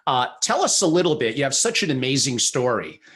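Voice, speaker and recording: Male podcast host, chatty, medium pitch, video call, reads disclaimers. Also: big pitch swings, fairly quick, a bit bright. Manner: chatty, gives long disclaimers. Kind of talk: interview introduction.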